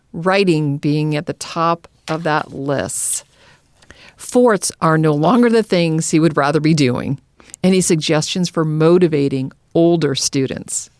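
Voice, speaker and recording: Female podcast co-host, slightly low voice, affirming and conversational, recorded dry. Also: expressive, measured pace, darkish tone, dry recording. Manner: affirming, interjects short agreements. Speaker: podcast co-host reading listener questions. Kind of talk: conversational hosting.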